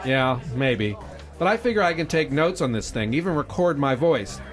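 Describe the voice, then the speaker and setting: A man's voice: medium pitch, very animated, normal speed, natural voice. A man playing a wisecracking, old-fashioned newspaper reporter in a radio drama, narrating with hard-boiled, slangy wit. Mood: wry. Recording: roomy echo, faint music behind.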